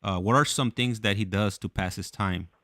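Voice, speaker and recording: A man casually hosting a live show from home, halting and repeating himself as he searches for words. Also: lowish voice, sharp tone, no echo. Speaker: host. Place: at home indoors.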